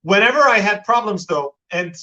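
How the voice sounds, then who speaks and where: A man's voice: high voice, expressive, normal speed, pushed and bright, muffled recording. A man with an American accent, a guest in a live-stream interview, talking at length with many restarts and repeated words. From Turkey.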